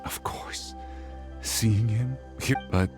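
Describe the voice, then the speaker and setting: Deep, grave stoic man. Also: slow pace, music in the background. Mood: somber. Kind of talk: dramatic game voice acting.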